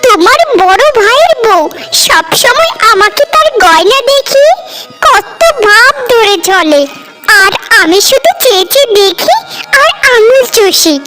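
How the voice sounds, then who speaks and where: Nagging, jealous cartoon housewife played by a woman: very high, animated, sarcastic complaints over music. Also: measured pace, poor audio. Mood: nagging, resentful. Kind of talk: Bengali cartoon family drama acting.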